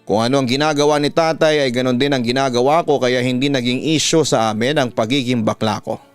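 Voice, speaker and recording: A Filipino man narrates in the style of a radio storytelling host. His voice is smooth and resonant, recorded close and dry, with music playing underneath. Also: medium pitch, fairly quick, dry recording. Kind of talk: dramatic narration of listener letters.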